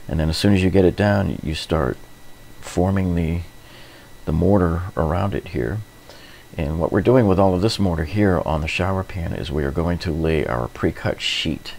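A man's voice: deep voice, creaky, a little mellow, slight background noise. A middle-aged man narrating a hands-on renovation tutorial in an informal, explanatory way, often repeating himself. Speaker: DIY renovation host.

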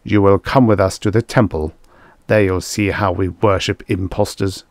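A dangerous temple priest played by a male storyteller, dripping with sarcasm and disdain. He speaks in a gravelly, close-miked voice, as in a dramatised sci-fi tale. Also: mid-range voice, fairly even intonation, slow, a little mellow, no echo. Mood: cold menace. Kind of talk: dramatised science fiction storytelling.

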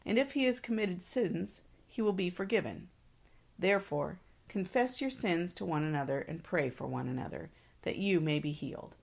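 A woman's voice: lowish voice, lively intonation, moderate pace, creaky. A woman co-hosting a devotional, reading reflections and scripture aloud. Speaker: devotional co-host.

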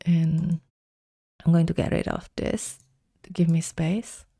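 A woman giving calm, step-by-step instructions in a screen-recorded software tutorial. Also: on the low side, slightly rough, dry recording, clean studio sound. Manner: calm step-by-step instructions, slow deliberate pacing.

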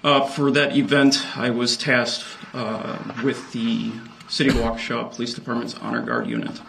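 An American man in his thirties giving precise, measured, formal testimony as a police officer. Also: medium pitch, level tone, gravelly. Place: indoor courtroom witness stand. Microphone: stand microphone before him. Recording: courtroom microphone with faint noise.

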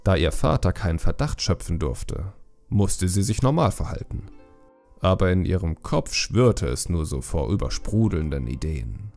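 A man's voice: sits low, sing-song, normal speed, vocal fry, a little mellow, soft background music. A man reading a scripted fantasy story as an audiobook narrator.

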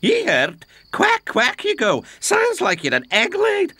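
A man voicing a grumpy, sleepy male steam engine with a Scottish accent, scolding gruffly. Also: very high-pitched, huge pitch swings, takes it slowly, pushed and bright, pitched above the performer's own voice, heavily acted.

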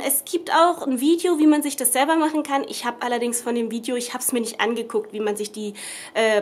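A young woman with a cold, chatting casually through a craft tutorial at her table at home, rambling with lots of fillers and self-corrections. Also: on the high side. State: has a cold. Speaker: craft vlogger. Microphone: camera above the table, speaker off screen.

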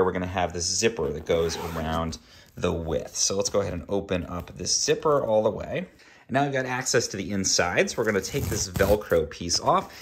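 A very deep-voiced man walks through a home task in a relaxed, explanatory way. His pitch rises and falls a lot as he talks. There is faint noise behind him, as if he is filming on a phone in a playroom. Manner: relaxed and explanatory, with fillers and small laughs. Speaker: DIY video host. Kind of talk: casual how-to demonstration. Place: indoor kids' playroom at home.

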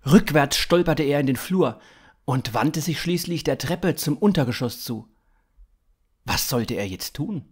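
A man's voice: on the high side, lively intonation, a little slow, husky. A man in his thirties reading scripted science fiction narration for a story podcast. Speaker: author-narrator.

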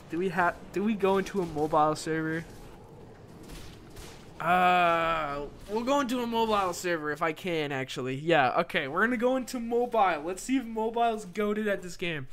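A young man giving casual, rambling commentary while he plays a game live, excitable and joking at his own expense. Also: sits high, slight background noise. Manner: excitable, rambling, self-mocking, quick laughs. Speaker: streamer.

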